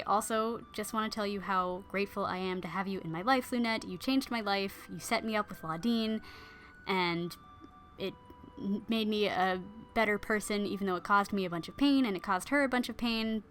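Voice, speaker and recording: Heartbroken, awkward knight for a casual story retelling, played by a woman, bright voice, earnest and hesitant. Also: medium pitch, moderate pace, soft background music, lightly acted. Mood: tender, grateful. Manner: plain-spoken, hesitant, earnest. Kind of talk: casual modernized storytelling dialogue.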